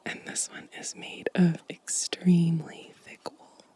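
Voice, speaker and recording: A woman whispering gently and softly in an ASMR show-and-tell style, breathy and unhurried. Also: on the low side, level tone. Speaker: ASMR video creator.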